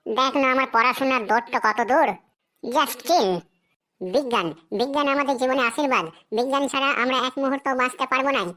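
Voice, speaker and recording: A woman voicing a bright, cocky cartoon schoolboy. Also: high-pitched, fairly even intonation, brisk. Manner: rattles off a memorized essay in a sing-song recital. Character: cocky schoolboy who turns every essay into a fox essay. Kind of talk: cartoon voice acting.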